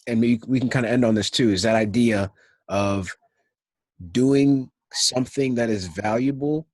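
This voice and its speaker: A young man hosting a casual video call interview, reflective and animated, laughing often and saying "like" a lot. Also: mid-range voice, normal speed, no room sound. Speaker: interviewer, host. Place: indoor room at home. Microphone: video call, laptop webcam mic.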